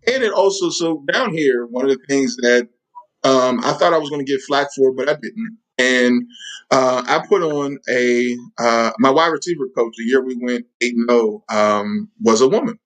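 A man's voice: mid-range voice, moderate pace, fairly bright tone, rough recording. A man, a football coach, talking earnestly and emphatically in an interview. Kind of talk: casual interview chat.

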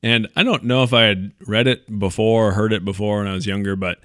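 A man's voice: fairly low pitch, brisk, a bit bright, dry recording, clean studio sound. A man co-hosting a podcast in casual chat, telling things in a laid-back, conversational way. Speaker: podcast host.

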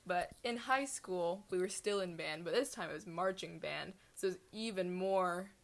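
Young American woman, monotone casual chat. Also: on the low side, moderate pace. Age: eighteen. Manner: monotone, self-deprecating. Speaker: podcast co-host.

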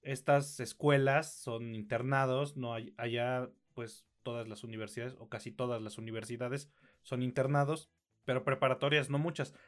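A man's voice: mid-range voice, little pitch movement, normal speed. A man with a Mexican accent reviewing films as a podcast co-host, informal and slangy, thinking aloud as he goes. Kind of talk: conversational film review.

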